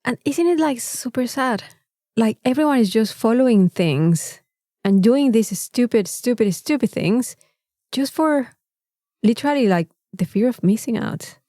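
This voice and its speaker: A woman's voice: a little high, lively intonation, measured pace, warm tone, no echo, studio quality. A woman with a Mexican accent, a native Spanish speaker, co-hosting a casual podcast in a candid, exasperated, emphatic way. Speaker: podcast co-host.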